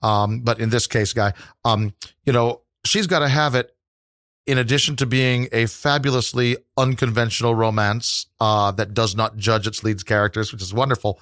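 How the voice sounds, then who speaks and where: A man's voice: mid-range voice, steady tone, moderate pace, fairly bright tone, no room sound, studio quality. A man, a guest in an interview, speaking thoughtfully and rambling with many fillers. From New York. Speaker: interview guest, New York tour guide. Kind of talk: casual interview conversation.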